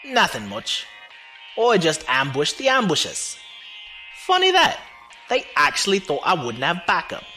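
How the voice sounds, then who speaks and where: A roguish driver-for-hire, acted by a man, breezy and unflappable. His pitch swings freely as he teases in folksy slang. Music plays behind him in this audio drama. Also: wildly swooping pitch, measured pace, bright, forceful tone, exaggerated voice. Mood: smug, amused. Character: cocky roguish male driver-mercenary, wily and unflappable.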